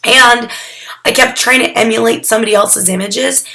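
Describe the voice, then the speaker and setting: A hoarse young woman getting over a cold, talking casually through a photography critique, rambling with lots of "um"s and restarts. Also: on the high side, steady tone, moderate pace, sharp tone. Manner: chatty and rambling, with many "um"s and restarts. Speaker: photography mentor critiquing student work. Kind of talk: casual recorded critique addressed to students.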